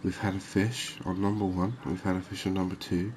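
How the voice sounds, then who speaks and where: A man giving calm, unhurried ASMR-style commentary on a fishing game, explaining things as he plays. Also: low voice, a little hiss or hum. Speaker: gaming video host.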